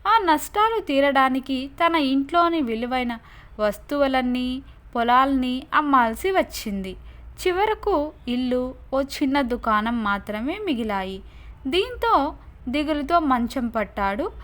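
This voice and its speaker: A woman narrating moral folk stories for a cartoon channel. Her delivery is slow and deliberate, and her voice sits high. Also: animated, fairly bright tone. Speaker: storyteller. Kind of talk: scripted story narration.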